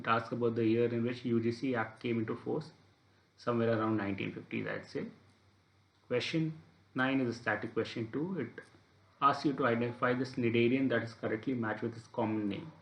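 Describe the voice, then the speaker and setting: Man tutoring in an echoey room. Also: mid-range voice. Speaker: exam preparation instructor. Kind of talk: explanatory exam paper walkthrough.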